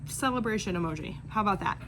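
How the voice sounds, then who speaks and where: A woman's voice: medium pitch, animated, quick pace. Chatty woman vlogger with noisy background. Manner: rambling, easily distracted, self-deprecating. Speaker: video creator, online clothing reseller. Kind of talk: casual chatty vlog. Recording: clear background noise, room ambience.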